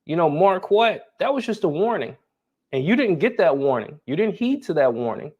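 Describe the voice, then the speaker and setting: A man's voice: a little high, sing-song, moderate pace, a little mellow, husky, muffled recording. A man with an American accent hosting a casual interview, talking in a boastful, profane way. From North Philadelphia and Brooklyn, United States. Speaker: interview host. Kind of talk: casual conversation.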